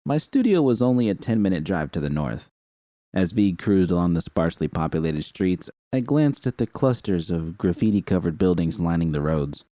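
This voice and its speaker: A man narrating a first-person fan story in a steady, unhurried reading. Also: deep voice, no room sound. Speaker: fan fiction reader.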